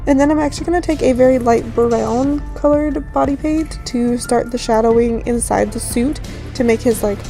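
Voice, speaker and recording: Raspy, high-pitched woman narrating a tutorial. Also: normal speed, mellow. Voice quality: raspy, slightly hoarse. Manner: rambling, informal. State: just woke up, morning hoarseness. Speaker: makeup tutorial host. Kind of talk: casual voice-over tutorial narration. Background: background music.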